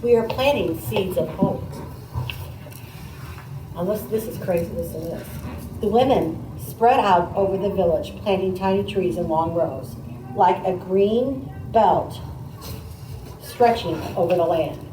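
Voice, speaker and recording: A woman teacher reading a picture book aloud, pausing to comment and put questions to her listeners in a conversational way. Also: lowish voice. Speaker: teacher demonstrating a read aloud to pupils. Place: echoey indoor classroom. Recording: roomy, distant mic, faint background noise.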